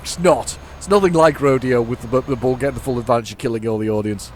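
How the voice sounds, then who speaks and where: A man's voice: mid-range voice, fast, a little mellow, a little hiss or hum. A man giving casual, rambling gameplay commentary, often correcting himself. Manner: rambling, self-correcting, chatty. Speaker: gaming channel host.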